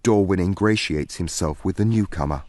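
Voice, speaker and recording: A man narrating a scripted fantasy story in a dramatic, deliberate way. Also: sits low, a little mellow, dry recording, clean studio sound. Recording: music behind.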